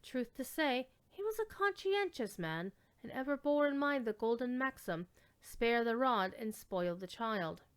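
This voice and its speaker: A woman reads classic fiction aloud as a storyteller. Her voice is fairly high, smooth and clear. She takes a measured, theatrical approach and lingers over descriptive words. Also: expressive. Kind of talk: dramatic reading of classic literary fiction.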